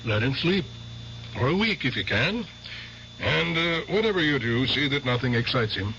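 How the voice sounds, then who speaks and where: An actor playing an authoritative doctor in a radio drama, giving measured, folksy advice. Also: male voice, medium pitch, big pitch swings, pushed and bright. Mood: advising. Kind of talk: 1970s radio drama acting. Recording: dull old radio recording, faint hum.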